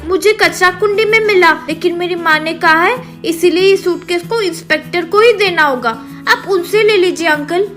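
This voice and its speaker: A woman voicing an innocent, polite, excitable little schoolgirl in an animated moral story, speaking in an earnest, childlike way. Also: very high voice, normal speed, faint music behind, voice pushed up.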